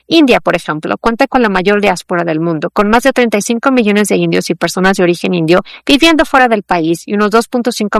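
Female news reader, mid pitch, dry close sound, measured delivery. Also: no room sound. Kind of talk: read-aloud news feature.

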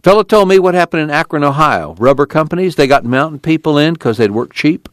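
A grizzled, bearded migrant tells a tale in a folksy drawl, as a male reader voices him. His voice is pitched well up and moves lively, with a dry, close sound. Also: fairly quick, dry recording, natural voice. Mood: storytelling. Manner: folksy yarn-spinning drawl.

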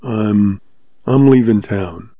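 A man voicing a steady young cowboy who talks slowly and plainly, in a dull lo-fi recording. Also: lowish voice, no room sound. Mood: quiet. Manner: slow and plain-spoken. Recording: dull lo-fi audio.

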